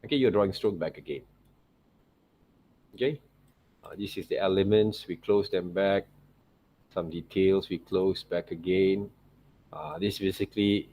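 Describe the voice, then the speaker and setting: Low-voiced man teaching. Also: a little mellow. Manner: checks in often with tag questions like right and okay. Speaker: art teacher. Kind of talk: informal screen-share tutorial commentary.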